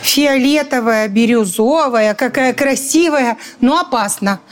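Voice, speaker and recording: A fussing mother , acted by a woman, coos and clucks over her boy. Her voice sits very high and tender. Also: measured pace, close to an everyday voice. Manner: cooing, scolding-tender. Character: fussy Russian mother comforting her son.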